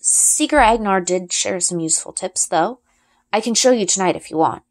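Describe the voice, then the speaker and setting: A woman's voice: mid-range voice, lively intonation, moderate pace, lightly acted. Woman voicing an easygoing, casual young boy. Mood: matter-of-fact. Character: easygoing, dry-humored young boy, patient friend.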